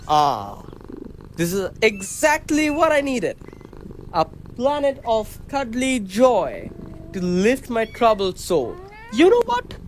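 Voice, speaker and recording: A man's voice: high-pitched, wildly swooping pitch, slow pace, background noise, exaggerated voice. A man playing a vain, bumbling male starship captain in a sci-fi radio comedy, self-important and melodramatic.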